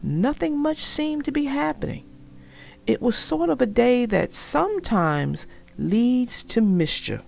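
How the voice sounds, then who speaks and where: A woman narrating a children's storybook aloud in a deliberate, expressive way. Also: fairly high pitch, creaky.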